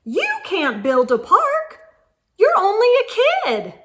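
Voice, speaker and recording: A curt female office clerk from a storybook, played by a woman reader. She is officious and dismissive, with a high voice. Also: wildly swooping pitch, slow, noisy background, heavily acted.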